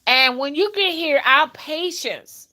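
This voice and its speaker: A woman's voice: high-pitched, slow, bright, forceful tone. A woman, chatting casually as a livestream host and talking straight to her viewers, often checking in with them. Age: early fifties. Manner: conversational, addresses viewers directly, often checks in with "okay" and "you know". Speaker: livestream host and content creator.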